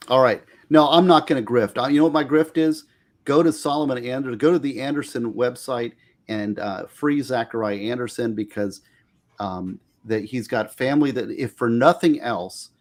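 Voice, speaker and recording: A man appearing as a lawyer guest on a casual video-call livestream, talking in a folksy, rambling way and giving earnest advice. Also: mid-range voice. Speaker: trial and appellate lawyer guest.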